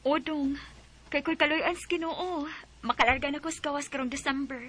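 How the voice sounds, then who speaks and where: Young Cebuano girlfriend, caring and practical, high bright voice, radio drama. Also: fairly quick. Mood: resigned, plaintive. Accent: Filipino, Cebuano.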